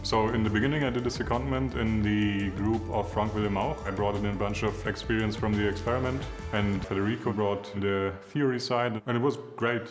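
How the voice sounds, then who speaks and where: A man's voice: fairly low pitch, fairly even intonation, a little fast, few pauses. A man from Germany, a PhD student speaking casually. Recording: roomy with music behind.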